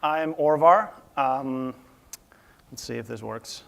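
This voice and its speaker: A man from Iceland giving a measured, slightly formal conference talk about leading a design team. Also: mid-range voice, vocal fry, slight background noise. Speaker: conference speaker, studio UI/UX director. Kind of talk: presentation talk. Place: conference stage.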